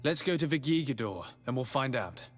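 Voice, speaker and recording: A man playing a calm, diplomatic adventuring envoy in a video game, speaking in a level-headed, polite way. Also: medium pitch, a little fast, faint music behind, close to an everyday voice. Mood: calm, inquiring. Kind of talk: video game cutscene acting.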